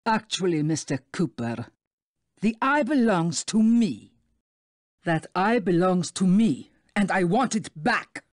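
An actress voicing a scheming spider countess in a video game, theatrical and condescending. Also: female voice, lowish voice, big pitch swings, slow, no room sound, studio quality. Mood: gloating.

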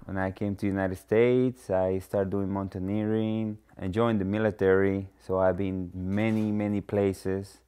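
A man's voice: low voice, level tone, measured pace, hardly any pauses, warm tone, smooth. A middle-aged man from the Dominican Republic with a Dominican Spanish accent, a native Spanish speaker, giving a personal testimonial. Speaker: cancer patient telling his story. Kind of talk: personal testimonial in a promotional documentary.

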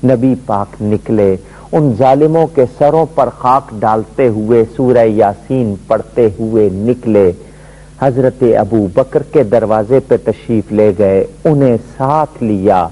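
Middle-aged man, religious scholar, emphatic storytelling, warm mellow tone, studio broadcast sound. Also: poor audio. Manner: emphatic storytelling, pitch swooping high and low. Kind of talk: devotional lecture. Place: television studio set.

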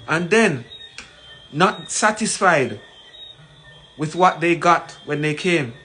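A man in his forties with a Jamaican accent gives an emphatic, deliberate address to camera, repeating phrases for weight. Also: a little high, expressive, sharp tone. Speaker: community leader. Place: small plain room indoors. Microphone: phone at arm's length. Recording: noisy room, ambient background sound.

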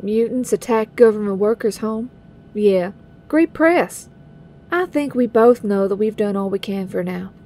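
A woman's voice: mid-range voice, expressive, unhurried, mellow. A woman playing a tough young mutant heroine with a casual, folksy, direct manner. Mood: sincere.

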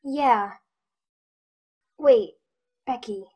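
A woman's voice: fairly high pitch, drawn-out words, warm tone, slightly rough, full character voice. A woman playing a naive, nervous little girl in an audio drama, hesitant and full of questions. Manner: hesitant, anxious.

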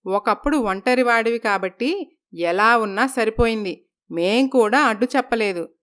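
A woman's voice: on the high side, normal speed, a bit bright, natural voice. A woman voicing a stern older mother-in-law who scolds her son in a storybook tale. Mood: scolding. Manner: scolding, commanding.

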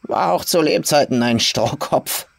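A man's voice: fairly high pitch, unhurried, vocal fry, no room sound, voice pushed up. A man voicing a sinister old farm woman in a horror audiobook, folksy and coolly menacing in clipped sentences. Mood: mock-regretful, menacing. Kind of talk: audiobook horror story character.

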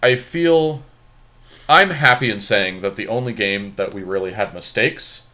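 A man's voice: lowish voice, a little slow, bright, forceful tone, a little hiss or hum. A man giving casual commentary on a game, thinking his moves through aloud. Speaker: podcast host and card game player.

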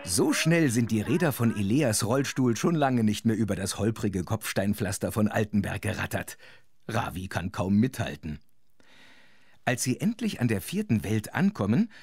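A man playing an involved, expressive storyteller who narrates a children's audio drama. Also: fairly low pitch, moderate pace, slightly rough, no echo, studio quality, heavily acted. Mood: narrating.

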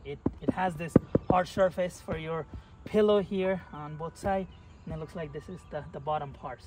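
A man's voice: a little high, heavy background noise. A man in his thirties casually reviewing a product outdoors, chatting while he demonstrates it by hand. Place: outdoors beside a car, moving around the trunk.

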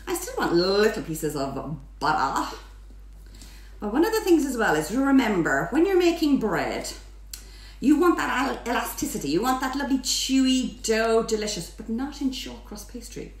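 A middle-aged Irish woman hosting a chatty home baking demonstration in a playful, theatrical, exuberant way, sometimes sing-song. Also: on the high side. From Ireland. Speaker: baking teacher and host. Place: home kitchen, indoors. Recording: echoey kitchen, mic at a distance.

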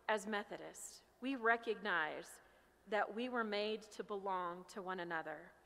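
A middle-aged American woman pastor delivering a sermon with personal storytelling in a loud, emphatic, projected voice. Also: fairly high pitch. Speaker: pastor preaching. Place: large church sanctuary, at pulpit. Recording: reverberant large church hall.

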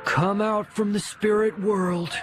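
An excitable young boy, acted by a man reading an audiobook, bossy and easily spooked. The voice sits high and sounds urgent and dramatic, with clear music behind it. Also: slow pace. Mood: eerie, commanding. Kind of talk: audiobook character voice.

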